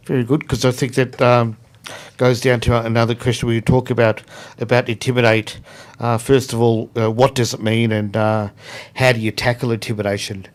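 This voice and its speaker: A man's voice: medium pitch, flat delivery, normal speed. A man hosting a radio panel discussion, prompting guests in a conversational way. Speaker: radio host.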